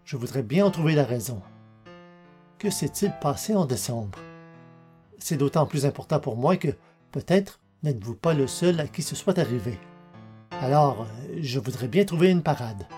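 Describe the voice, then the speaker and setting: Podcast guy reading letters, warm dark voice, polite and formal, faint guitar behind. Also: medium pitch, expressive, moderate pace, pauses often. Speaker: podcast host reading listener mail. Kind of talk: scripted reading of letters and replies. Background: soft guitar music.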